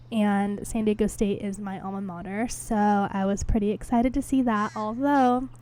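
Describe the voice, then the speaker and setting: Young female podcast host, hoarse from a cold, relaxed dark-toned, chatty and rambling. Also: medium pitch. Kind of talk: casual solo chat. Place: home, indoors.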